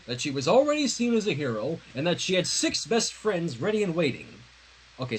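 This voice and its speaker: High, animated, husky-voiced man reading aloud. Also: poor audio. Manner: expressive, acts out dialogue. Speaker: host reading fan fiction aloud. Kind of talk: dramatic read-aloud of a story with casual commentary.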